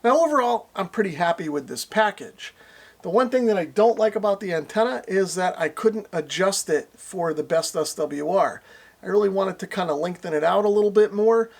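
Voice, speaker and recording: A middle-aged man with an American accent and a notably high voice talks to camera in a small indoor workshop. He gives a casual hands-on review as a radio reviewer. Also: normal speed.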